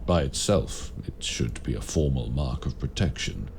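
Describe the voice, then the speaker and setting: A man playing a courteous, grave warlock mentor in raven form, speaking formally and deliberately in an audio roleplay. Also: rumbling low, expressive, vocal fry, darkish tone, a little hiss or hum, full character voice. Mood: grave, measured. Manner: formal, measured, deliberate phrasing. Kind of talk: audio roleplay addressed to the listener.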